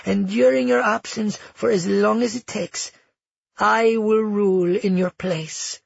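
A man playing a cold, manipulative elderly royal grandmother in an audiobook, speaking with calm, measured, falsely tender menace. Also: high voice, gravelly, slightly rough, no echo, lo-fi sound, voice pushed up, exaggerated voice.

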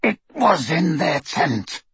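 A man voicing a savage, menacing male werewolf in an audiobook, with a raspy, snarling, leering delivery. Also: on the high side, sing-song, slow pace, a bit bright, dry recording, voice pushed up, full character voice. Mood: snarling, resentful. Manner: crooning, leering.

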